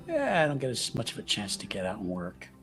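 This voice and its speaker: A man acts out a short, weary, paranoid fellow in a tabletop roleplaying game. He is a little tipsy and tongue-tied, speaking casually with a lively, swinging pitch over music. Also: a little fast, exaggerated voice.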